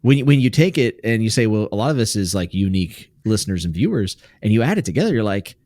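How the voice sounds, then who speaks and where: A man's voice: fairly low pitch, very animated, fast, a little mellow, dry recording, clean studio sound. A man from West Virginia with a light American accent, co-hosting a podcast in casual, joking chat. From West Virginia, USA. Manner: jokey, drops into playful impressions. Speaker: podcast co-host.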